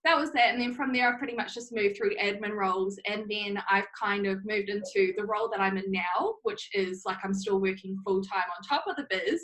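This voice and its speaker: A young woman with a New Zealand accent, chatting casually as an interview guest, often laughing and filling pauses with "like" and "um". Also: medium pitch, fairly bright tone, rough recording. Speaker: interview guest, social media manager.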